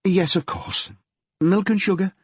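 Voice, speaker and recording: A man voicing a grieving middle-aged mother with a Yorkshire accent, who talks in a gentle, fretful and homely way. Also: sits high, fairly even intonation, unhurried, breathy edge, no echo, pitched above the performer's own voice. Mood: sorrowful. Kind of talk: audiobook character voice.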